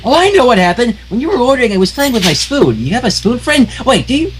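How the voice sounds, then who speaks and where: A man voicing a hyper, cheerful young female pony baker who rambles in a high squeaky voice. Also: big pitch swings, fast talker, slight background noise. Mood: chirpy. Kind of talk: amateur reading of fan fiction.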